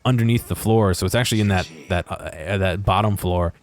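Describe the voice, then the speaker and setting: A man's voice: deep voice, fairly quick, soft background music. A man giving casual, rambling and hesitant commentary over game footage. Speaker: video narrator.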